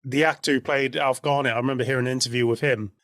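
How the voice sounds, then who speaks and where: A British man with a medium-pitched voice talks on a podcast he co-hosts. The sound is dry, with no room around it, and he argues his points in loose, casual conversation. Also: steady tone, racing, a bit bright, no echo. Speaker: podcast co-host. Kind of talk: casual opinionated podcast chat.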